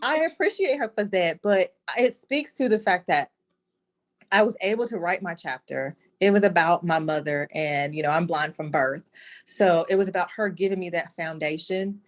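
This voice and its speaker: A woman's voice: fairly low pitch, normal speed. A woman speaking as an author and interview guest, telling a personal story in an earnest, reflective way.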